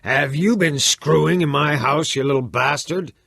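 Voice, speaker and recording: A man voicing a blustering, heavy-set middle-aged father who shouts roughly. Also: fairly low pitch, lively intonation, moderate pace, no room sound, studio quality, pitched above the performer's own voice, exaggerated voice. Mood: angry. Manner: loud, rough and bullying.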